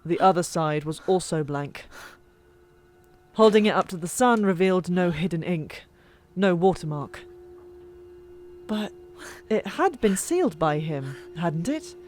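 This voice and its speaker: A woman reading a fantasy novel as an audiobook narrator, expressive and dramatic, with hushed asides and pauses. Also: lowish voice. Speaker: narrator of a fan-made audiobook. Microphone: old microphone. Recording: music bed, older microphone. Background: background music.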